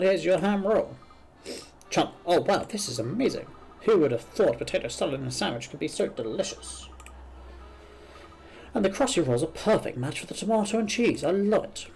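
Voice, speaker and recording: A hearty food-lover from a video game, voiced by a man, gushing with exclamations. The voice is pushed up high above a normal talking pitch. Music plays underneath. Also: vocal fry, a little mellow.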